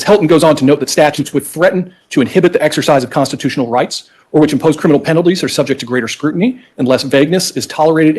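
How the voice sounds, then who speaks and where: A male lawyer delivers oral argument with a mid-range voice. He explains methodically, step by step, with a slightly halting delivery as he addresses the judge. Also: mid-range voice, darkish tone. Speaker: lawyer arguing in court. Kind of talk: oral argument walking a judge through legislative history.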